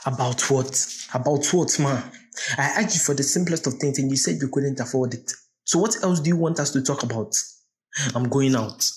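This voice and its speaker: A man acts a defiant Ghanaian youth in a radio play. His voice is husky and mid-pitched. He sounds like a poor son with a chip on his shoulder, quick to defend himself. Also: lightly acted. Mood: sullen, defiant. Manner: sullen, defensive.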